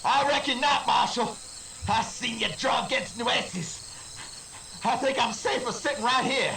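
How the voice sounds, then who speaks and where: A man playing a cornered, defiant outlaw gunman in a western radio drama, calling out in rough cowboy talk. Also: very high voice, a little slow, pushed and bright, a little hiss or hum, exaggerated voice, low-quality recording. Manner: calling out with rural cowboy grammar.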